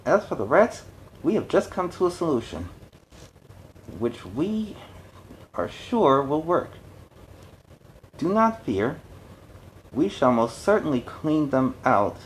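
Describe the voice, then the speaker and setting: A pompous male storybook mayor, as a man reads him aloud, speaks in a raised pitch with grandiose, placating smoothness. He sounds every bit the politician, over a noisy recording. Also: big pitch swings, slow pace, pauses often. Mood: grandly reassuring. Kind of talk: storybook character voice.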